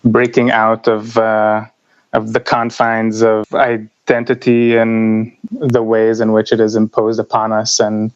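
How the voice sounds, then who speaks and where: Measured man, smooth voice, dull lo-fi audio. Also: lowish voice, completely flat delivery. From Israel. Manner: measured, thoughtful, with hesitant "uh" pauses. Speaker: guest, writer and YouTuber. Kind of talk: interview answers.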